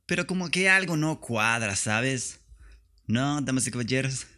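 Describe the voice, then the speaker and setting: A man's voice: mid-range voice, expressive, measured pace, sharp tone. A man with a northern Mexican accent delivering a comedic podcast rant in a slangy, profane, sarcastic manner. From Tijuana, Mexico. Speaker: podcast host.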